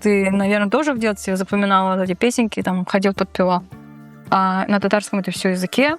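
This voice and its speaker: A woman co-hosting a show in casual, animated, joking conversation, speaking colloquially. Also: mid-range voice, quick pace, faint music behind. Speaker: co-host of a Tatar language-learning show. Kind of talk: casual playful chat and quiz banter.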